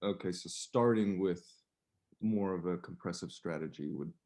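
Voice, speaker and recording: A man's voice: low-pitched, moderate pace, a little mellow. A man taking part in a coaching call, tentatively piecing his thoughts together in casual discussion.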